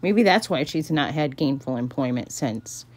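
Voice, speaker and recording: A woman's voice: rumbling low, animated, moderate pace. A woman giving dry, skeptical reaction commentary in a sardonic manner. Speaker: video commentator. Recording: faint background noise.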